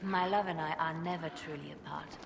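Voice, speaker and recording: Low, echoing, resolute spymaster. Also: female voice, steady tone, gravelly. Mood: solemn, tender. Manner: measured, earnest. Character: composed, devoted female spymaster with quiet resolve. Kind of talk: cinematic game dialogue acting. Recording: echoing stone-like hall, noisy ambience.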